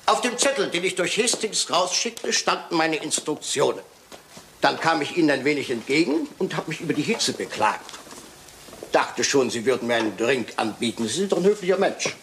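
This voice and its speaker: Theatrical clever detective for a crime radio play, very animated, teasing, bit of hiss. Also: male voice, on the high side, moderate pace. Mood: triumphant. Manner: theatrical, sly, teasing, with occasional French phrases. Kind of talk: German radio crime drama acting.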